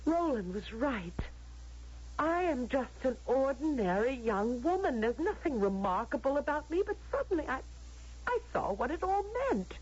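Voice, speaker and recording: High, anguished young woman. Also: sing-song, darkish tone, breathy edge, exaggerated voice. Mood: yearning, impassioned. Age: young adult. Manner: halting, impassioned, repeating words. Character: anguished young woman, restless rebel in a contented society. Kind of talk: old-time radio drama acting. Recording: dull lo-fi radio drama recording.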